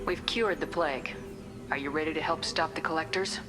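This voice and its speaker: Casting: actress for resolute military commander in a sci-fi game. Very low female register, firm, matter-of-fact delivery. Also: a little fast, music in the background, lightly acted. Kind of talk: video game voice acting.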